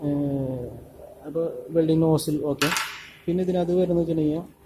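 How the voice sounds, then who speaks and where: A man with a Malayali accent giving a hands-on welding tutorial, halting, with many filler sounds and restarts, recorded on a camera mic at a workshop table. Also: a little high, steady tone, background noise. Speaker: welding instructor.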